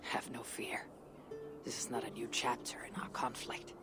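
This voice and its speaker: A proud, weary Viking warrior woman, speaks with husky restraint. Her delivery is measured and dry, like cinematic game drama, with prominent music behind her. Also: very deep voice, little pitch movement, whispered. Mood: quiet, resolute. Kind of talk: dramatic video game acting. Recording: music under the voice.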